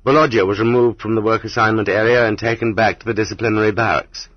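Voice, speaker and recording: A man reading an audiobook as scripted literary narration, in a measured, dramatic manner. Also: on the low side, steady tone, breathy edge, no echo. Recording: slightly dull, muffled audiobook recording.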